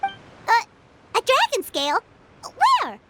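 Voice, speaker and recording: A woman voicing an excitable, easily indignant tiny fairy sidekick in an anime game dub, full of exclamations. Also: very high voice, slow pace, heavy background noise, heavily acted. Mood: shocked, indignant. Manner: refers to self in third person, exclaiming. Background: game music.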